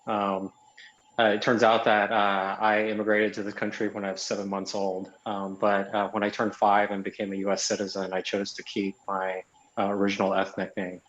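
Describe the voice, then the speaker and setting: A man on a webinar panel introduces himself in a low, flat voice. He hesitates often, filling gaps with "uh." His audio is echoey and dull, like a bare room. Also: moderate pace. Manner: hesitant, with many "uh" fillers.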